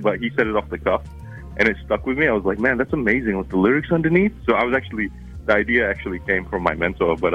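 Deep-voiced man with an Arabic-Kiwi accent. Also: breathy edge, lo-fi sound. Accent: mix of Arabic and New Zealand. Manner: rambling, many fillers and restarts. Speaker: musician guest. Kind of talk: casual interview chat.

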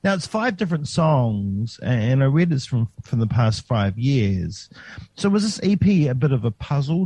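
A man's voice: lowish voice, mellow, husky, no echo. A man interviewing a musician, casual and encouraging, often affirming with "yeah" and "you know".

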